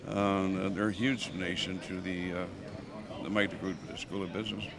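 Older man, lowish voice, halting interview in a busy hallway with crowd noise behind. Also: little pitch movement, vocal fry. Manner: rambling, halting, with many restarts. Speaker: donor interviewed at stadium opening. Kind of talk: casual interview. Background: crowd chatter.

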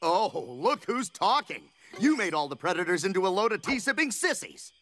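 A man's voice: high-pitched, big pitch swings, normal speed, bright, forceful tone, exaggerated voice. A man voicing a sensible, articulate talking ape in a cartoon, fussy and exasperated, given to scolding. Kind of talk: cartoon voice acting. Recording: cartoon music underneath.

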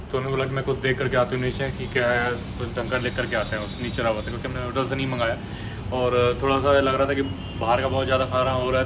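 A man's voice: medium pitch, noisy background. A man vlogging casually outdoors at a hotel, chatting in a playful, teasing way. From Lucknow, India. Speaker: travel vlogger. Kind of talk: casual vlog chat.

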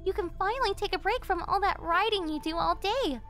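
A woman's voice: extremely high pitch, fairly quick, a bit bright. A woman playing an obsessive young cafe server turned kidnapper in an anime-style roleplay, sugary, teasing and possessive. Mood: chatty, probing. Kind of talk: yandere ASMR roleplay acting, anime-style. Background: music.

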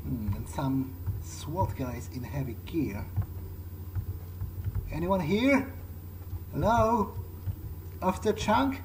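Higher-pitched man roleplaying through a game. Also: animated, slight background noise, rough recording. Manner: hesitant, halting, repeats words. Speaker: gameplay video player speaking in character. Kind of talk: in-character roleplay game commentary. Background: game music.

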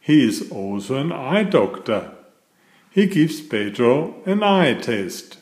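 Slow, very expressive man reading to children. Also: mid-range voice, reverberant. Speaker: children's book reader. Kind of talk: expressive read-aloud storytelling for young children.

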